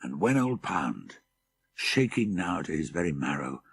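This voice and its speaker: A man reading a horror story as an audiobook narrator, measured and deliberate, with dramatic emphasis. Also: very deep voice, big pitch swings, creaky, a little hiss or hum.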